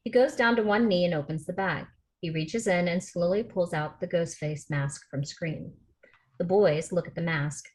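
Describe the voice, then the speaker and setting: A woman's voice: on the low side, moderate pace, darkish tone, poor audio. A woman narrator reading stage directions from a film script at a table read. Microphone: video call.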